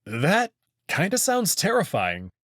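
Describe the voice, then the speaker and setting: Man acting young male protagonist, hesitant, casual, questioning, lively intonation, visual novel. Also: sits high, takes it slowly, dry recording, studio quality, pitched above the performer's own voice, full character voice. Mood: uneasy. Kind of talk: visual novel character reading.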